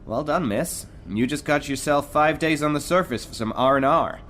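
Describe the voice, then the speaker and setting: An actor playing a gruff, sarcastic male firearms instructor in an audio drama, barking orders and mocking recruits. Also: on the high side, normal speed, a bit bright, a little hiss or hum, lightly acted. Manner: barking, mocking, drill-sergeant style.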